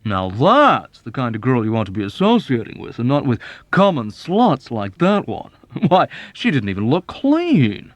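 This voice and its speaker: A man doing a pompous, booming officer. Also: huge pitch swings, normal speed, few pauses, dry recording. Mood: smug jovial. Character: smug, chubby, jovial male army officer.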